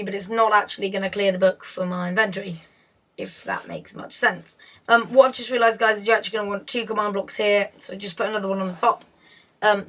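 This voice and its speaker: A woman whose voice is hoarse and fading walks viewers through a game build. She talks casually and corrects herself as she goes, pitched mid-range for a woman. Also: fairly bright tone. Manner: chatty, self-correcting, often laughs at slips. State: hoarse, losing her voice. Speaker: video tutorial host.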